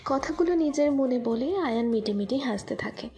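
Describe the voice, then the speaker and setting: A woman's voice: sits high, expressive, normal speed, mellow, soft background music. A woman narrating a scripted romance story.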